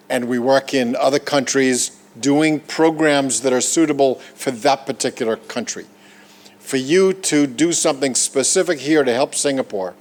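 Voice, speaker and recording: A man from the Bahamas giving an earnest, encouraging ceremonial speech at a podium as a Rotary Foundation leader. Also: medium pitch. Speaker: Rotary Foundation trustee chair.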